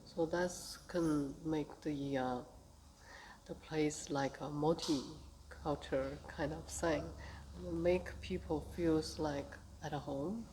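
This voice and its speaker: Slow-talking woman from Beijing, low voice. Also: fairly even intonation, mellow, a little hiss or hum, poor audio. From Beijing, China. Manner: slow, hesitant, many fillers. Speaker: interviewee, tai chi group leader. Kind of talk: casual interview answers.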